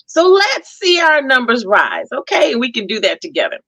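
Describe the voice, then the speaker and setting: Animated, higher-voiced woman hosting a talk show. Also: moderate pace, slightly rough, no echo. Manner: chatty, excitable, laughs easily. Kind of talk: casual upbeat show announcements.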